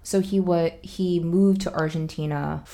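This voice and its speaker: A woman's voice: on the low side, fairly even intonation, a little slow, warm tone. A young adult woman hosting a podcast, chatting curiously with a friend and using frequent fillers. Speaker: podcast host. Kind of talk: casual interview.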